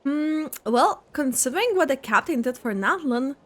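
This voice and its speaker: High-voiced, animated woman gamer. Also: normal speed. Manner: reactive, brief interjections. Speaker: gaming reactor. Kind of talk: casual playthrough commentary.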